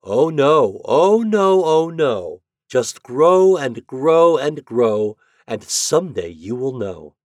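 A man's voice: mid-range voice, very animated, normal speed, husky, no echo, clean studio sound. A man voicing merry little sunbeams and breezes in a storybook, kindly and playful with a teasing lilt.

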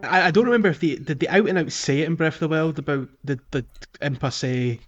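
A man's voice: on the high side, quick pace, dull audio, faint music behind. A man co-hosting a podcast in casual gaming chat, with a hesitant, rambling delivery. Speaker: podcast co-host. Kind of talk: casual video game chat.

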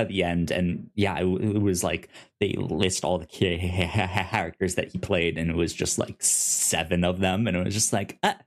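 A man co-hosting a podcast, casually discussing fiction in a halting way, often stumbling over the starts of words. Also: low voice, steady tone. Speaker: podcast co-host reviewing fiction.

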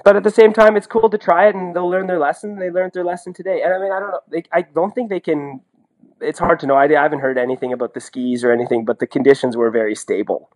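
A Canadian man giving casual, opinionated sports analysis in an animated, colloquial way, as a podcast co-host. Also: fairly high pitch, fast talker, poor audio. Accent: Canadian English. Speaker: podcast co-host and ski commentator.

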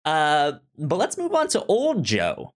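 Slow-talking man with a frequent stutter. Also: a little high, wildly swooping pitch. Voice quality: frequent stutter, repeating sounds and syllables. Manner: halting, thoughtful delivery. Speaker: podcast co-host. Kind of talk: casual film discussion.

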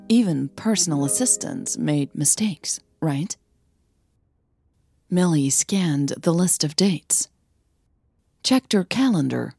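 A woman who narrates and hosts an audio fiction podcast. Her voice sits slightly low and moves with very lively pitch. She speaks slowly, over soft music. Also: vocal fry, breathy edge, dry recording, clean studio sound. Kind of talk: scripted story narration and casual outro chat.

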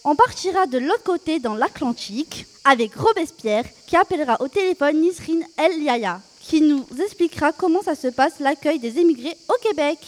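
Une jeune femme à la voix très haute annonce le déroulé d'une émission de radio. Son débit est vif et son texte est préparé. Sa voix résonne longuement dans la pièce. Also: female voice, high-pitched, expressive, long reverb tail. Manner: brisk, scripted announcing. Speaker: co-host. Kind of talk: reading a show rundown.

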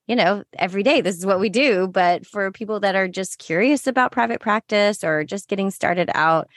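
A woman's voice: on the low side, no echo, studio quality. An American woman co-hosting a podcast in casual conversation, chatty and given to explaining things as she goes. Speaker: podcast co-host and lactation consultant.

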